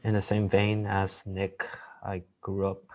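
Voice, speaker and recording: A man from Malaysia speaking softly and hesitantly on a headset during a video call, with many fillers. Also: deep voice, monotone, unhurried. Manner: soft, hesitant, many fillers, trailing off quietly. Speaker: panelist. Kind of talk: casual discussion on a video call. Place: home room.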